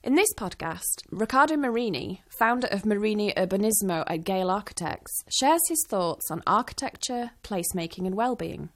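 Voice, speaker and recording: A woman reads a scripted podcast introduction in a formal, measured way. Her voice sits at a middling pitch for a woman, with a strong gravelly fry at the ends of her phrases. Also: animated. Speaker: podcast host.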